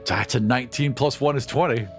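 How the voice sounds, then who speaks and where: A man's voice: medium pitch, a little fast. A man playing a tabletop game, narrating his character's actions and calling out rolls in casual speech. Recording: music bed behind the voice.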